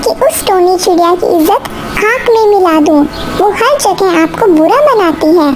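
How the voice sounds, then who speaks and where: A spiteful cartoon crow wife acted out by a woman with a tiny, very high voice. She draws her words out slowly as she coaxes and bosses. Music plays underneath. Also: lively intonation. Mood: spiteful, scheming. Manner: conniving, coaxing and bossy. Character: scheming spiteful female crow, bossy wife. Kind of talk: Hindi children's cartoon dialogue.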